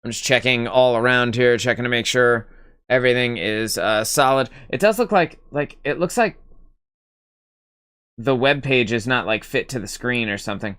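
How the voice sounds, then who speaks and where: Young male stream host, clear bright tone, casual and rambling, swears freely. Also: medium pitch, normal speed. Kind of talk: casual gaming stream chat.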